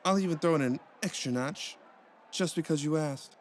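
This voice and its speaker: A man's voice: on the high side, normal speed, slight background noise, lightly acted. An actor playing a cheerful male counselor who talks in a playful, bubbly way full of puns, in a comedic audio drama.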